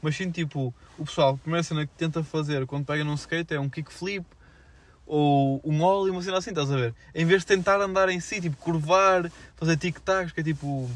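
Fast-talking young Portuguese man, slangy. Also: on the high side, steady tone. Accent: European Portuguese. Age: young adult. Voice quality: slangy, filler-heavy speech. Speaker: podcast co-host. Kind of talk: casual unscripted chat.

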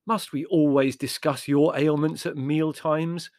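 A man's voice: fairly high pitch, steady tone, measured pace, darkish tone, no room sound, studio quality, pitched above the performer's own voice, lightly acted. Man acting an airy, sarcastic, exasperated young man. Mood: irritated. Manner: airy, irritable, mocking.

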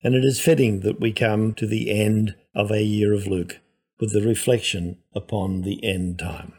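A male priest and professor giving a scripted gospel reflection in a measured, explanatory manner. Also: lowish voice, fairly even intonation, darkish tone, breathy edge.

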